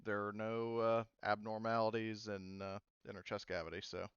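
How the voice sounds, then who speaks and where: A man's voice: on the low side, little pitch movement, normal speed, a bit bright, clean tone, no echo. Gm guy rambling through the scene, lots of likes and uhs, slightly dull dry audio. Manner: rambling, many fillers. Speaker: game master. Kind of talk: narrating a tabletop game.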